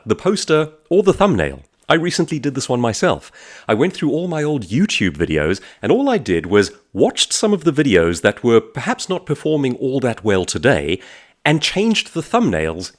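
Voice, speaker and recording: A man giving a motivational business talk as a presenter, phrasing points emphatically and deliberately, with frequent rhetorical questions. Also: medium pitch. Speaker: author and speaker presenting a business advice talk.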